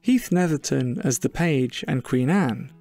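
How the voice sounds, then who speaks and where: A male announcer delivers scripted drama credits. His voice sits at a medium pitch, with faint music behind it. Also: lively intonation, measured pace, a little mellow.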